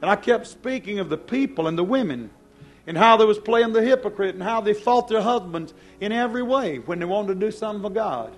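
Male hellfire preacher, high-pitched voice. Stern, accusatory, emphatic, with big swings in volume. Faint music underneath. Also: animated, brisk. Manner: stern, accusing, with repeated rhetorical questions; emphatic, swinging between hushed and loud. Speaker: preacher, church pastor. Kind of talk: fiery hellfire sermon. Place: church service before a congregation.

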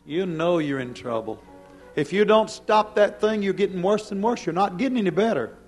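A man preaching a fiery, stern sermon, accusing his congregation with emphatic repeated questions and swinging between hushed and loud delivery. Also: high-pitched, expressive, normal speed, faint music behind. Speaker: preacher, church pastor. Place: church service before a congregation.

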